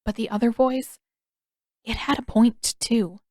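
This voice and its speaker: A woman's voice: fairly high pitch, steady tone, unhurried, a little mellow, no echo, clean studio sound. A woman reading scripted fiction as the narrator of an audio story.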